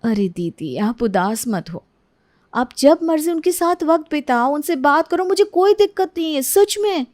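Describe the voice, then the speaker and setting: A woman's voice: high-pitched, expressive, unhurried, darkish tone, voice pushed up. A woman voicing a sly young second wife who sweet-talks the family in a coaxing, teasing way.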